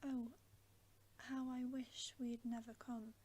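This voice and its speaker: A woman voicing a distressed, protesting girl in a breathy, dramatic storybook whisper. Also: a little high, flat delivery, slow, warm tone. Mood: despairing.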